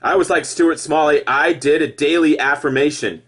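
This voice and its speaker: A man giving an impassioned personal monologue to viewers, halting and repeating himself, with emphatic stresses. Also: a little high, fairly bright tone, husky. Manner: halting, repetitive, with emphatic outbursts. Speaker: former Jehovah's Witness video blogger.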